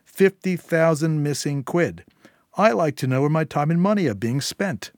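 A suave, coldly polite crime boss threatens in a smooth, lifted voice, as a male narrator puts him on. The menace stays courteous. The sound is dry and close, like a studio audiobook. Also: fairly high pitch, expressive, moderate pace, darkish tone, dry recording, pitched above the performer's own voice. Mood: menacing.

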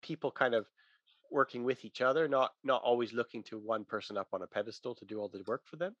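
Bright, emphatic man in religious discussion. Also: mid-range voice, fast talker, no echo, muffled recording.

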